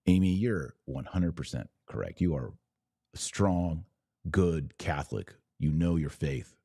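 Deep-voiced man hosting an emphatic talk. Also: a little slow, gravelly, dark tone, breathy edge, no echo, clean studio sound. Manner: emphatic, didactic, with rhetorical questions. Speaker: podcast host. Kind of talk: religious commentary answering live viewer comments.

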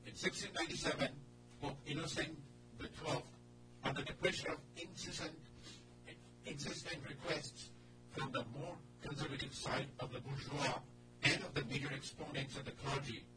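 A man reading out a written message in a declamatory, measured way. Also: a little high, steady tone. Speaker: guest reader. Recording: loud music behind, distant mic.

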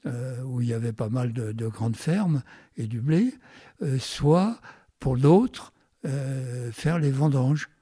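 A man, reminiscing unscripted in an interview and halting as he searches for his words. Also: mid-range voice, animated, dark tone, slightly rough, dry recording, clean studio sound. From France. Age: 90s. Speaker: interview guest recalling his life.

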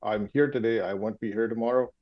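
A man's voice: on the low side, fairly even intonation. Field: man, reflective, muffled call. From Pakistan. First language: Urdu. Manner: reflective and philosophical, halting with many fillers. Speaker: interviewee. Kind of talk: casual conversation on a call.

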